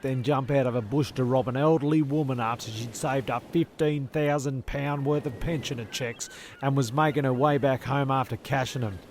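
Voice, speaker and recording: Man as a creaky, long-winded castaway. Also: medium pitch, a little hiss or hum, natural voice. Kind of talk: comic radio play acting.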